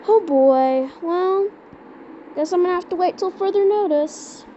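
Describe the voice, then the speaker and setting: High-pitched woman, halting delivery, repeated words, amateur skit, dull audio with clear background noise. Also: mellow. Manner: halting, repeats words while searching for phrasing. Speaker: amateur creator voicing a homemade emergency scenario. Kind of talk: improvised amateur skit and mock emergency announcement.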